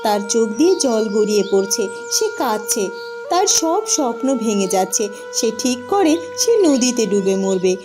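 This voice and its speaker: A woman's voice: on the high side, very animated, measured pace, music in the background. A woman giving scripted storybook narration for a cartoon folk tale.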